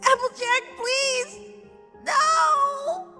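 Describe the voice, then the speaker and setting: An extremely high, anguished young pony, wailing slowly and defiantly. The cartoon fan drama rings in long reverb with music clearly behind. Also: female voice, fairly even intonation, bright, forceful tone, full character voice. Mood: screaming, grieving. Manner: stammering, wailing, pleading. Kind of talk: dramatized fan fiction reading.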